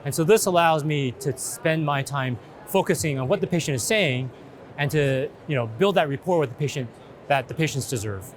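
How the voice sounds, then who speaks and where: A middle-aged man with a fairly high voice speaks as a doctor giving a testimonial. His delivery is measured and articulate, with clear trade show noise around him. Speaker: physician, chief medical officer. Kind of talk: spoken testimonial. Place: indoor trade show booth in large exhibition hall. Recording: busy convention hall background noise. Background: exhibition hall noise.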